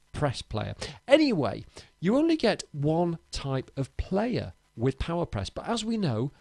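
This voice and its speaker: Animated man presenting a tutorial. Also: on the high side, dry recording. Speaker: podcast host giving a tutorial. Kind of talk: screencast tutorial, informal quick tips.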